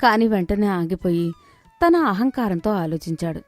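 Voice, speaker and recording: A woman's voice: medium pitch, animated, normal speed, no echo. A woman narrating a scripted moral story. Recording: dry studio, music underneath. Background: background music.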